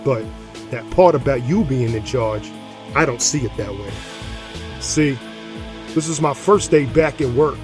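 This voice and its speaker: A man's voice: medium pitch, a little slow, long pauses, close to an everyday voice. Man acting cocky middle-aged streetwise bruiser over music. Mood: smug menace. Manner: folksy, streetwise, swaggering. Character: middle-aged brawny reformed-drinker gang enforcer, cocky and menacing. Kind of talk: dramatic monologue and dialogue in audio drama.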